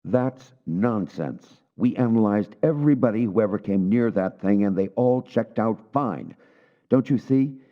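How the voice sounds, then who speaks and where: A man's voice: lowish voice, expressive, a little slow. A man playing a stern, dismissive scientist in a video game drama, exasperated and emphatic in manner. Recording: dull, muffled lo-fi sound.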